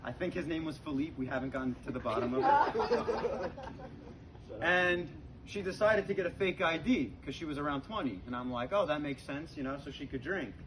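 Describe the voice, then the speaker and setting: A young man telling stand-up comedy stories to an audience in a conversational, halting way, with pauses. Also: fairly high pitch, lively intonation. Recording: very noisy live comedy recording with clicks. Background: audience laughter.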